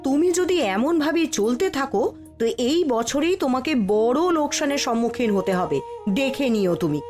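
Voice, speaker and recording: A woman's voice: high-pitched, animated, normal speed, darkish tone, soft background music. A woman playing a stern wife in a cartoon story, nagging and reproachful as she lectures her husband. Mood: scolding, warning. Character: stern, scolding wife of a proud shopkeeper.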